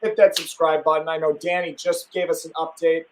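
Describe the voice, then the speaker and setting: A young man from Wisconsin hosts a casual live stream. His voice sits a little high, and he talks with eager enthusiasm, looping through fillers and restarts as he hypes up his guests. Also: flat delivery, brisk, a little hiss or hum, poor audio.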